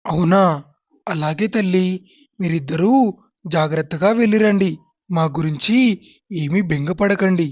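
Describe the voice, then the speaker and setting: Someone playing a gentle caring dad in a cartoon, measured, kinda muffled dry recording. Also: mid-range voice, no echo, close to an everyday voice. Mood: caring, serious. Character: caring father of two grown daughters. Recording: dull lo-fi, dry.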